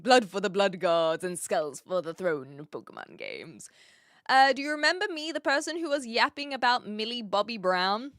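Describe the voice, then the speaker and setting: A woman's voice: mid-range voice, a bit bright, no echo, studio quality. A young woman from New Zealand with a blended, British-leaning accent, chatting casually on a live stream and answering viewers' messages. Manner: chatty, rambling, laughs often, answers chat directly.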